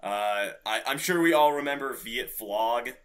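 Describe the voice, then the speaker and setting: A man co-hosting a podcast in casual gaming chat, quick to laugh as he talks. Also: medium pitch, fairly bright tone. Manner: laughs readily while talking. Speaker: podcast co-host.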